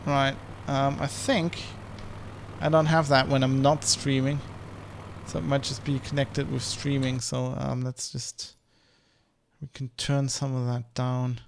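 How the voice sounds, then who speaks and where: Streamer guy, english, bit gravelly, rambles and keeps restarting sentences. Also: mid-range voice, flat delivery, unhurried, noisy background. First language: German. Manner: rambling, with many restarts and self-corrections. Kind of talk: casual flight-sim stream commentary.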